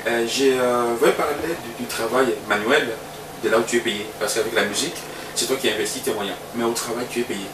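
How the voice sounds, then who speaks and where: A man hosting a web TV interview, addressing viewers formally and filling pauses with hesitations. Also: medium pitch, fairly even intonation. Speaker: interview host. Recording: roomy sound from a distance with background noise.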